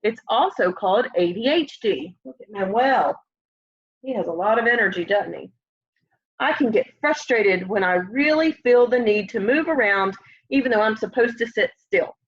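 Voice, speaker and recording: A young woman reading a children's picture book aloud in an expressive way, often putting questions to young listeners. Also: fairly high pitch, moderate pace, slightly rough. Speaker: storybook reader. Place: room at home, indoors. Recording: dull, lo-fi home webcam audio.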